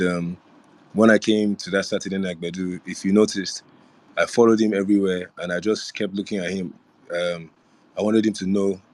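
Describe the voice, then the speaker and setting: A man's voice: low-pitched, dry recording. A young man, a former reality show housemate, chatting casually with fans in a live audio room, rambling with fillers. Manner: relaxed, rambling, with frequent fillers and restarts.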